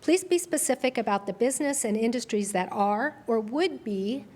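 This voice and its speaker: A woman's voice: fairly high pitch, lively intonation, moderate pace, a little mellow. A woman moderating a candidate forum, giving brief, orderly prompts.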